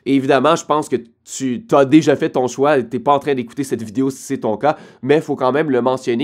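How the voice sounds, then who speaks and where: Québécois man giving chatty vlog advice. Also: fairly high pitch. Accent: Québécois French. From Quebec, Canada. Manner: direct, addresses viewer as tu, emphatic. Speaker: online business creator.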